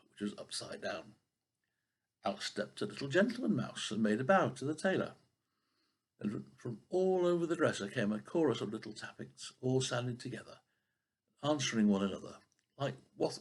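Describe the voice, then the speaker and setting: An older man reads a children's tale aloud in a rough, husky voice with plenty of creak. He is expressive in the manner of a grandfather at storytime, and now and then trips over a word. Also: medium pitch. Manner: expressive with occasional stumbles over words.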